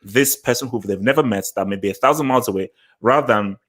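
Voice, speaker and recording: A man's voice: fairly low pitch, fast talker, fairly bright tone. A man from Nigeria with a Nigerian accent, chatting as a podcast host, circling and repeating words to stress his point. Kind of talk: casual discussion podcast chat.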